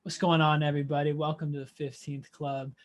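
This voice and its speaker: A man's voice: on the high side, level tone, a little fast. Easygoing young male interview host. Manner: easygoing, filler-heavy. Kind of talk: casual interview chat. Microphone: video call.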